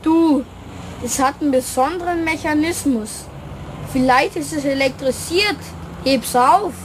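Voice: sits high, measured pace, a little hiss or hum. A young performer playing a curious, bossy schoolboy in a children's radio play, speaking plainly and cheekily with a Bavarian accent. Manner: plain-spoken, cheeky, boyish.

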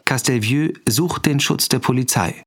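A man's voice: medium pitch, moderate pace, noisy background. Male scene announcer in a radio drama. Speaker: announcer of scenes, dates and places. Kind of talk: scripted radio drama narration.

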